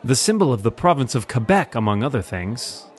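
Man acting animated millionaire playboy. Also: medium pitch, a little fast, a faint crowd, natural voice. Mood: explaining. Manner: jaunty, explanatory. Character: genial layabout millionaire playboy, knowledgeable. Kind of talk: old-time radio drama parody acting.

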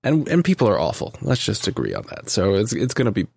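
A man's voice: lowish voice, wildly swooping pitch, fast, vocal fry, husky, dry recording, clean studio sound. A man hosting a podcast in casual interview chat, rambling and wry as he interrupts himself. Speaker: podcast host.

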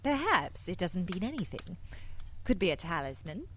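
An actress playing a cool, witty British spy in a spoof radio drama, arch and teasing with a British accent. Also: female voice, lowish voice, big pitch swings, moderate pace, breathy edge. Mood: arch, curious.

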